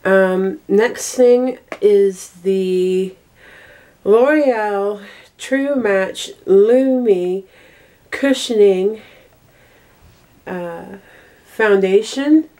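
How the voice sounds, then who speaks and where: A young woman vlogging casually with a cold, speaking in a halting, stop-and-start way in a bedroom. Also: medium pitch, mellow. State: congested with a cold. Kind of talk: casual monthly favorites vlog. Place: small bedroom, indoors.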